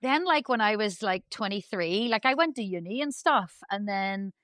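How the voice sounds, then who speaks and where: A woman's voice: medium pitch, expressive, moderate pace, fairly bright tone, dry recording, studio quality. A middle-aged woman with a Northern Irish accent, chatting as a podcast guest in a chatty, self-deprecating way with plenty of laughs. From Northern Ireland. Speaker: guest, dressage rider. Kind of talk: casual podcast chat.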